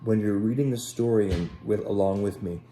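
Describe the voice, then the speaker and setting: A man reading a Bible story aloud to children in a gentle, unhurried way, pausing to address them directly as a Sunday school teacher. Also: on the low side, soft background music.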